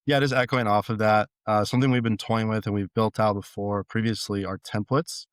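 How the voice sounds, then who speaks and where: A man, a startup co-founder, calmly explaining product features on a podcast with some fillers. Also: on the low side, a little fast, smooth, no echo. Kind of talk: casual podcast interview.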